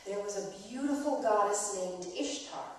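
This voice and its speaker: A woman playing a gentle biblical aunt, telling a myth to children in an expressive, deliberate, soothing way. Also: medium pitch, close to an everyday voice. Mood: gentle storytelling. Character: gentle storytelling aunt Tamar from the Hebrew Bible. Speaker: narrator in a staged myth reading. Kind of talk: dramatic storytelling to children. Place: church performance space. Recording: echoey church hall, far from mic.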